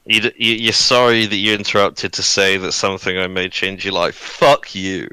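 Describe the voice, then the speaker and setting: A man in his early twenties chatting and telling personal stories on a podcast, halting, sweary and self-deprecating. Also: sits low, level tone, bright, forceful tone, clean tone, no echo, poor audio. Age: early 20s. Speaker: podcast crew member. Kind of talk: casual podcast chat, personal storytelling. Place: online voice call recorded on own mic.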